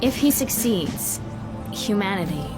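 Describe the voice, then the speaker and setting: An actress playing a composed, resolute military commander, authoritative and crisp, in a cinematic sci-fi game with loud music. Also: female voice, mid-range voice, animated, unhurried, lightly acted, low-quality recording. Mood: composed briefing. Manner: crisp, authoritative briefing delivery.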